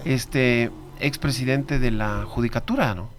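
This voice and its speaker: A man's voice: medium pitch, measured pace, a crowd in the background. An Ecuadorian man hosting a radio interview, pressing his guest with teasing, slangy questions. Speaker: radio host. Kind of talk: interview, casual chat.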